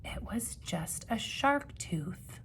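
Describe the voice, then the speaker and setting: A woman teacher giving an expressive storybook read-aloud, with teaching asides and questions for young listeners. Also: mid-range voice, takes it slowly, creaky, in a whisper.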